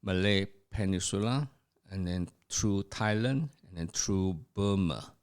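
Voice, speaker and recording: An older man from Singapore reminisces about his family's past in a podcast conversation. His voice sits very low and deep, and he talks at an unhurried, slow pace. He pauses often, restarting his sentences and filling the gaps with "uh" as he goes. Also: smooth. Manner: slow, halting storytelling with many fillers and restarts. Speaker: podcast guest, host's father. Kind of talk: family history interview, reminiscing.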